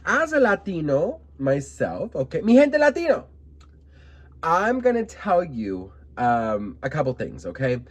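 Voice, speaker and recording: A man's voice: fairly high pitch, wildly swooping pitch, measured pace. A young man from Miami giving sassy, emphatic commentary to camera, often adding "okay?" asides. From Miami, United States. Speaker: vlogger commenting on celebrity news.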